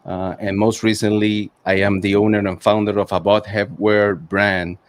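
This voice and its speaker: A man's voice: lowish voice, little pitch movement, normal speed, dry recording. A middle-aged man hosting a podcast in a casual interviewing style. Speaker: podcast host.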